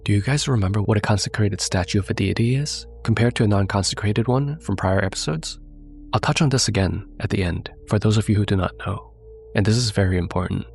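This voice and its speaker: A man hosting and narrating a horror story in a measured, suspenseful way, sounding congested from a cold. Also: lowish voice, vocal fry, soft background music. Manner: measured, suspenseful delivery, addressing viewers directly. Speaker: narrator and host.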